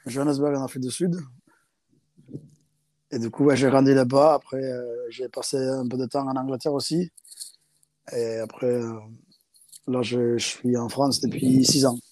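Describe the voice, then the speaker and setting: Give me a young man from South Africa, speaking hesitant French in a casual interview, with a mellow, dark tone. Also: mid-range voice, little pitch movement, moderate pace, long pauses, dry recording. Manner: hesitant, many fillers and restarts in second-language French. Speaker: interviewee, rugby player.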